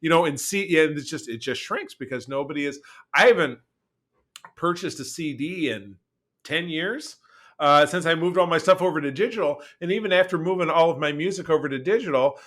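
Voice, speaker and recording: Male podcast host, forceful bright voice, emphatic and opinionated, rambles conversationally. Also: a little high, a little fast. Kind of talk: casual conversational podcast talk.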